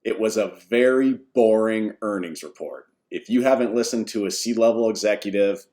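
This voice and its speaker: Low-pitched man giving informal investing commentary. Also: normal speed, a little mellow. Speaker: amateur investor presenting takeaways. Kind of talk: informal explanatory commentary over screen share.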